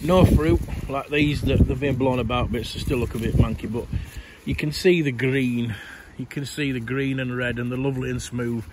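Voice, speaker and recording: Man, middle-aged, hoarse, rambling gardening vlogger, noisy outdoor recording. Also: medium pitch, steady tone, normal speed, few pauses. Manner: chatty, rambling, tired. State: croaky voice, coughing. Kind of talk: casual garden update to camera.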